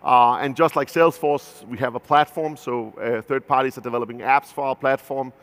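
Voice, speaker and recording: A man's voice: medium pitch, fairly even intonation, a little fast, fairly bright tone. A man in his thirties with a Danish accent gives a conference keynote as a company founder, talking loosely with many fillers and restarts. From Denmark. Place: large conference stage. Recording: long hall reverb from a big venue.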